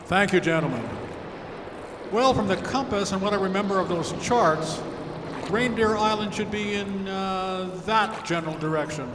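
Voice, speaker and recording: A man playing a steady, genial reverend in command of a small boat, acted in old-time radio adventure style. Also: high voice, moderate pace, natural voice. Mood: reassuring. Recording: echoey hall, clear background noise.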